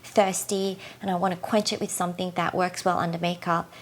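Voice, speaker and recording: A woman with a slightly low voice talks through her beauty favorites in a relaxed, chatty way. Tiredness leaves her voice husky and a little croaky. She fills her sentences with easy "like"s. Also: brisk. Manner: chatty, filler-heavy, uses "like" often. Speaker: beauty vlogger. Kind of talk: casual product review.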